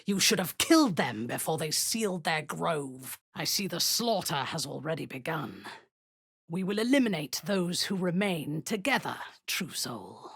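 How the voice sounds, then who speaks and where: A woman's voice: sits low, big pitch swings, unhurried, gravelly, husky, no echo, clean studio sound, heavily acted. A woman playing a cruel, zealous drow war commander in a fantasy video game, commanding and full of haughty contempt. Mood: cold disapproval.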